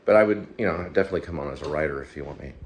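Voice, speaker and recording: A man hosting his own online show, giving rambling, unscripted commentary in a halting, digressive way, as if thinking aloud. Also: a bass voice. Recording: clear background noise.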